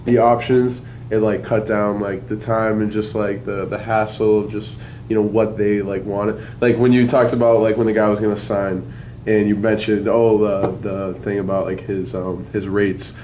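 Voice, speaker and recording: A man's voice: lowish voice, level tone, normal speed, dark tone, a little hiss or hum, low-quality recording. Young man rambling through a question. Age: 20s. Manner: hesitant, many fillers. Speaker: workshop attendee asking a question.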